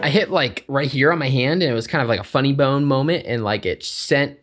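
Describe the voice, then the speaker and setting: A man's voice: mid-range voice, a little fast. A man chatting casually as he reacts to an album, sounding congested and stumbling over his words. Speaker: music reaction host. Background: album music playing.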